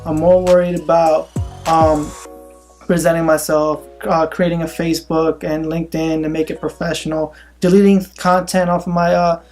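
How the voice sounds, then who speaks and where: A young man in his senior year of college, chatting casually and laughing often, with soft music behind him. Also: fairly high pitch, moderate pace. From Philippines. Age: young adult. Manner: relaxed, laughs often, says man a lot. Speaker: co-host. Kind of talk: casual chat.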